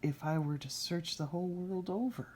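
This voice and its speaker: A woman voicing a greedy storybook king, smug, calculating and measured in manner. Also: fairly low pitch, darkish tone, slight background noise, close to an everyday voice. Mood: smug musing.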